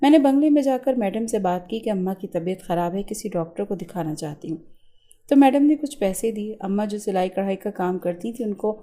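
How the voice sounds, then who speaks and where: A woman narrates a scripted, emotional story in the first person. Her voice sits at a middle pitch for a woman and has a warm, mellow, relaxed tone. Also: brisk.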